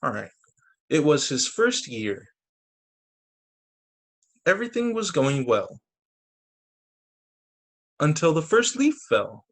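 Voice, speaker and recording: Guy teacher reading picture books to little kids, really animated up and down voice, chatty little asides. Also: on the high side, slow, long pauses. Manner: expressive, with brief casual asides. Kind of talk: animated children's story reading. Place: remote video story time.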